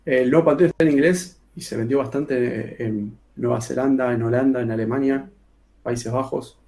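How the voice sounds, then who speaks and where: Gravelly argentine guy chatting casually about his books, says eh a lot. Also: medium pitch, steady tone, moderate pace, dark tone. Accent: Rioplatense Spanish. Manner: colloquial, many filler sounds and restarts. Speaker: author guest. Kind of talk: casual interview talk.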